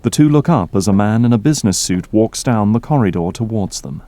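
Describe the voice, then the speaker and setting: Casting: male narrator for an audio drama, low dark tone, scripted descriptive delivery. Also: animated, a little fast, dry recording.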